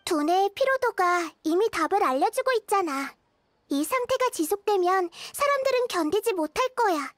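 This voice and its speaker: A woman playing a small, wise child deity in a game, speaking in a calm, measured, thoughtful way. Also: very high-pitched, dry recording. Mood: calm, pensive. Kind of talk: scripted game character dialogue.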